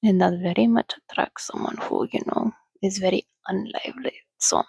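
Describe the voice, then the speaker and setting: A woman speaking in a hushed, soothing, often whispered ASMR style, as if calming a companion. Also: medium pitch, moderate pace, creaky, slightly rough, no echo, clean studio sound. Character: calm, sociable female vampire soothing a companion. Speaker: ASMR host. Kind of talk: soft-spoken ASMR reading and roleplay.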